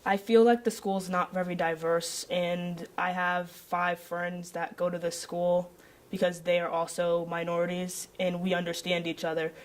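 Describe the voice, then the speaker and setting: Young woman with flat intonation. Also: fairly low pitch, normal speed. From Linden, New Jersey. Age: young adult. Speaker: student interviewee. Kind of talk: candid interview answers.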